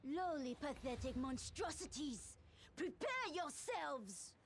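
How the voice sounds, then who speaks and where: An actress playing a contemptuous ninja villain in an animated game, declaiming in an imperious manner. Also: female voice, sits high, takes it slowly, very noisy, exaggerated voice. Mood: scornful threat. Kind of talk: animated video game acting. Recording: loud music under the voice.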